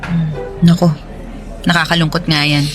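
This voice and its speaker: An actress with a Filipino accent playing a friendly, sympathetic office worker in a conversational radio drama. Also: female voice, deep voice, flat delivery, normal speed, music in the background, natural voice. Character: friendly single office woman, sympathetic listener.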